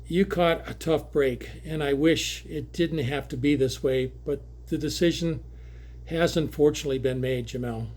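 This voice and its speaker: A man playing a sympathetic, even-keeled coach who delivers hard news patiently in an audio drama read. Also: fairly high pitch, lightly acted. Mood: sympathetic, regretful. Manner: patient, measured, apologetic.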